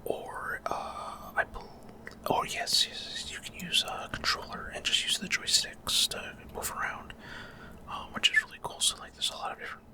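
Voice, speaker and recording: A man speaks entirely in a breathy whisper, close to the microphone. He rambles casually through gameplay commentary for an ASMR video, often unsure of the details and feeling his way through each explanation. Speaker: gaming ASMR video host.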